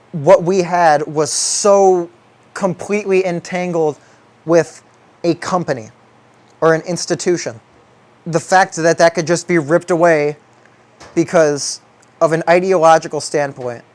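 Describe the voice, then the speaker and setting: A young man giving a rambling, reflective monologue to an audience as a video essayist, thinking aloud haltingly and drawing out words for emphasis. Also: on the high side, fairly even intonation, a little mellow.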